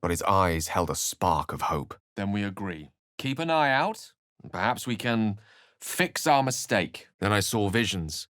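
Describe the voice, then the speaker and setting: A scholarly, melancholy wizard with a fairly low voice that rises and falls expressively. He speaks formally and theatrically, with courteous, wry phrasing suited to a fantasy video game. The recording is dry and close, free of room sound. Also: very animated, a bit bright, no room sound. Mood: solemn. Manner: eloquent, formal, measured and dramatic. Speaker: video game companion character.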